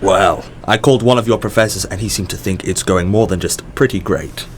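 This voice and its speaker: A man's voice: deep voice, a little fast, a little hiss or hum, close to an everyday voice. An actor in an audio drama playing a mysterious, encouraging male company boss who is polished, effusive and probing. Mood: welcoming.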